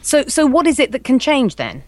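A woman's voice: sits high, huge pitch swings, normal speed, no room sound. A woman presenting radio news, with a probing, conversational way of interviewing.

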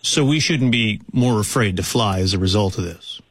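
A man's voice: lowish voice, moderate pace, no room sound. A man hosting a news talk radio interview, conversational and questioning.

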